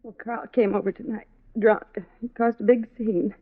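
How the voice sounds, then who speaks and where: An actress playing a distraught, strained wife in a 1950s radio drama. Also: female voice, on the high side, flat delivery, normal speed, close to an everyday voice. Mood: weary, bitter. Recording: tinny, noisy old radio recording.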